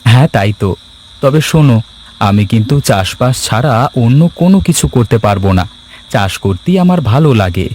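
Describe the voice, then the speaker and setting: A man voicing a poor, gentle farmer husband in a Bengali cartoon fairy tale, speaking earnestly and soothingly with a Bangladeshi accent. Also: medium pitch, expressive, fairly quick, slight background noise, natural voice. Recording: background music under the voice.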